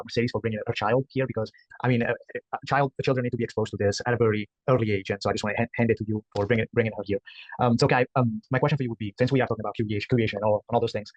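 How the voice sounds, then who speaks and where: Man asking a question, polite and quick, dry muffled call audio. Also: medium pitch, dry recording. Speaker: workshop participant.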